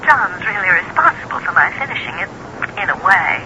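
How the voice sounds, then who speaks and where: An actress playing an excitable, naive woman novelist who talks in hesitant, scattered bursts in a radio drama. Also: medium pitch, brisk, lightly acted. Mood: flustered, hesitant. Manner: hesitant, scattered, breaking off mid-thought. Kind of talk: radio drama acting, phone conversation. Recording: thin, noisy telephone line on old radio.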